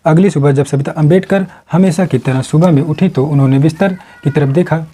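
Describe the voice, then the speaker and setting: Guy narrating a scripted dramatic story, mellow soft-edged voice, kinda high for a man. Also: a little fast. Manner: acts out quoted dialogue within the narration.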